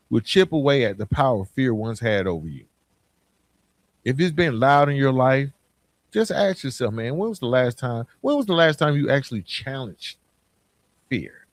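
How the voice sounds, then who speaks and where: A man's voice: mid-range voice, no room sound. Man giving a dry, close-miked motivational talk. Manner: earnest, emphatic, colloquial direct address to listener. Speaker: podcast host. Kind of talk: motivational podcast monologue.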